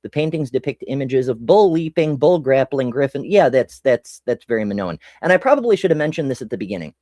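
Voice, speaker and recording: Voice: mid-range voice, big pitch swings, brisk, mellow, dry recording, clean studio sound. An American host giving casual history commentary, rambling and self-interrupting with casual swearing. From United States.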